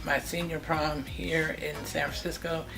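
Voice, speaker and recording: An older woman in her sixties with a gravelly edge to her voice, from California. She recalls her family life at a steady pace, naming dates and people one by one. Music plays clearly beneath her. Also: low-pitched, measured pace. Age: 60s. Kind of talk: personal reminiscence.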